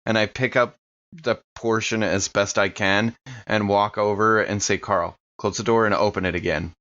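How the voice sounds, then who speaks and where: A man with a lowish voice plays in a tabletop roleplay session, casually narrating his own character's moves. The recording is a bit dull and lo-fi. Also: steady tone, moderate pace, fairly bright tone. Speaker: player in a tabletop roleplay game. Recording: dull, lo-fi microphone sound.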